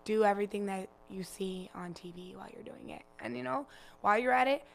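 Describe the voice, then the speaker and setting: A raspy-voiced young woman from Chicago, chatting loosely as a guest musician, full of fillers and profanity. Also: medium pitch, a little fast. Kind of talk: casual interview chat.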